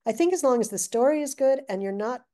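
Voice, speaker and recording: An older woman, a guest author, telling stories in a chatty, animated way with restarts, heard over a video call. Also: sits high, fast. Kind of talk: casual interview chat. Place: living room with brick wall. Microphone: video call webcam.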